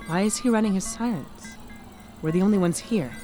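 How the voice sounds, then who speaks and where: An eerily detached female scientist played by an actress in a science fiction audio drama. She speaks in a deliberate, measured way at a middle pitch, with clear music underneath. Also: warm tone, natural voice. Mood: cautious.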